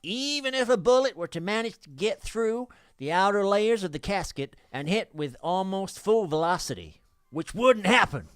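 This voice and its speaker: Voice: mid-range voice, animated, moderate pace, a bit bright, smooth, no room sound. A podcast host reading listener mail aloud in a jokey, sweary way, often stumbling over the text.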